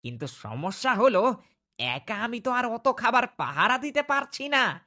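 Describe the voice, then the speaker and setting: নারী কণ্ঠে উজ্জ্বল, ওঠানামা করা গলার চতুর শিয়াল. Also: female voice, fairly high pitch, sing-song, unhurried, sharp tone, exaggerated voice. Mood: coaxing flattery. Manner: persuasive, theatrical. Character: clever, quick-witted fox flattering and protecting others. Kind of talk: cartoon voice acting.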